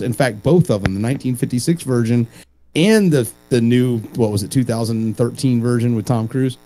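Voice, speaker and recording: Joking, profane man with a mellow voice. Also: medium pitch, poor audio. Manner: animated, profane, streetwise ribbing. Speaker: co-host. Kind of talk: casual, joking commentary.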